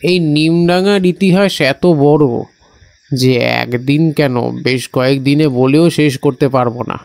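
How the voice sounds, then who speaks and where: A man playing an evasive village local who knows dark secrets, talking hesitantly and coaxingly in an audio horror story. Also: a little high, a little slow, no echo, close to an everyday voice.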